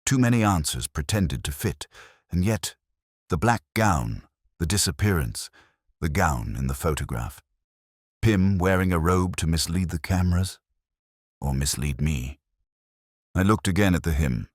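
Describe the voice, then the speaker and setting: A man reading a scripted first-person detective story as narrator, in a measured, solemn, literary manner. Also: low voice, lively intonation, dry recording, clean studio sound.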